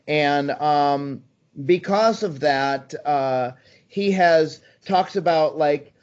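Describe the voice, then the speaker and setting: A man's voice: a little high, very slow, clear, resonant tone. Enthusiastic man in his sixties, slightly muffled. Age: 60s. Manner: enthusiastic, persuasive, with frequent ums and restarts. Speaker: guest. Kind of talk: conversational interview answers. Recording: slightly dull, muffled sound.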